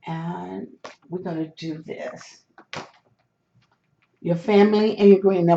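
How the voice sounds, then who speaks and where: A hoarse host explains number charts step by step, with hesitant restarts. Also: medium pitch, warm tone, muffled recording. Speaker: lottery number tutorial host. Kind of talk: screen walkthrough of number charts.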